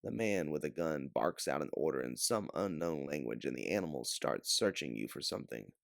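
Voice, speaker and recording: A man narrating a science-fiction adventure story as an audiobook reader, with theatrical emphasis and a measured, dramatic delivery. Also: rumbling low, animated, creaky, no room sound, clean studio sound. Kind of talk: dramatic second-person sci-fi story narration.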